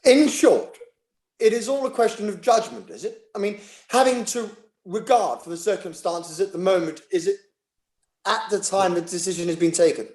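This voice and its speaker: Actor playing a polite judge in charge of a hearing, pitch moves a lot, roomy echo, courtroom play. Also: lively intonation, moderate pace, darkish tone, close to an everyday voice. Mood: measured, judicial. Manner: polite, authoritative. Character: courteous, firm judge chairing a public inquiry. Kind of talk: online table read of a courtroom play.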